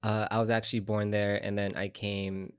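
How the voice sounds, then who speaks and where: A man from Ecuador, a podcast guest, chatting casually in a relaxed, rambling way with lots of fillers. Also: on the low side, moderate pace, clear, resonant tone, no echo. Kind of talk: casual chat. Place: podcast studio.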